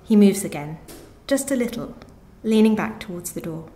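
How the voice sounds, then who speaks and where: A woman reading her short story aloud in a measured, literary narration style. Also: medium pitch, expressive, warm tone. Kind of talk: live reading of literary fiction.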